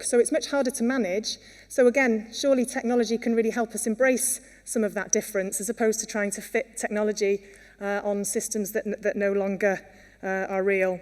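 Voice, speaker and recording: A Welsh woman giving an earnest conference talk, with many fillers and restarts. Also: mid-range voice. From Wales. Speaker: conference speaker. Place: conference hall. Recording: long hall reverb.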